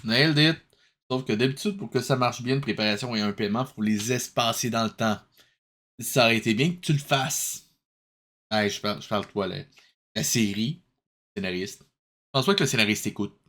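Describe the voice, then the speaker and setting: A man with a Quebec French accent comments casually as host of a comic review show. His voice is mid-pitched, and he mixes analysis with dry sarcasm and jokes. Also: moderate pace, long pauses, fairly bright tone.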